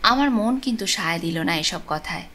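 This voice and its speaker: A woman narrating a supernatural tale as a storyteller, with expressive, deliberate phrasing. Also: lowish voice. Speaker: storyteller, channel host. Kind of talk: dramatic audiobook narration of a ghost story. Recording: clear background noise and ambience.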